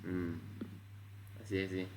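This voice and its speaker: A young man from Malaysia interviewing a classmate for a class presentation, soft-spoken, with frequent quiet acknowledgements. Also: sits low, monotone, a little slow, a little hiss or hum. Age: young adult. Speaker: student interviewer.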